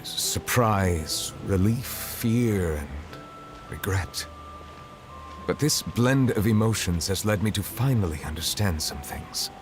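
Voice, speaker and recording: A man voicing a solemn, reserved chief judge in a video game, speaking in a measured, formal way. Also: sits low, animated. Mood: solemn regret. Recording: game audio with clear background music.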